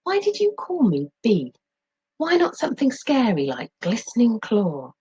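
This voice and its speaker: A woman's voice: a little high, huge pitch swings, a little slow, darkish tone, slightly rough, pitched above the performer's own voice, heavily acted. A woman voicing an eager, defiant young Stone Age girl from a storybook, earnest and pleading. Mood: curious, earnest.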